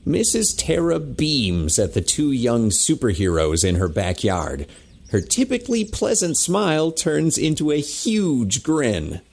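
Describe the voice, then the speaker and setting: Husky man narrating with lively intonation. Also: medium pitch, measured pace. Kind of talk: scripted dramatic narration.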